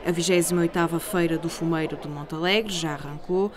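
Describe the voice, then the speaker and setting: Low-pitched Portuguese woman reporter. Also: fairly even intonation, a little fast, crowd noise. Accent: European Portuguese. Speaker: TV news reporter. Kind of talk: scripted news narration.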